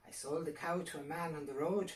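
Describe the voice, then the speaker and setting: A woman's voice: sits low, fairly even intonation, a little fast, close to an everyday voice. Poor farmer husband, read by a woman: wary, gullible, storybook folktale, roomy and distant recording. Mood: hopeful.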